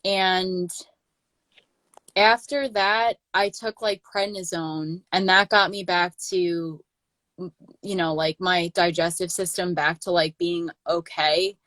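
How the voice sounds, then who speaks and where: A young woman in her late twenties with a lowish voice, telling a long personal story in casual livestream chat. She rambles with lots of fillers and restarts. The sound is dry and close, with no room echo. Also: steady tone, takes it slowly, fairly bright tone. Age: 20s. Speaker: channel host.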